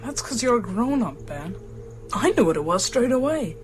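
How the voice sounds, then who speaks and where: High-voiced, knowing youngster. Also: female voice, very animated, moderate pace, over music. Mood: knowing, solemn. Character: knowing, matter-of-fact youngster speaking to a grown-up. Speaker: actor in a radio drama. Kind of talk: audio drama dialogue.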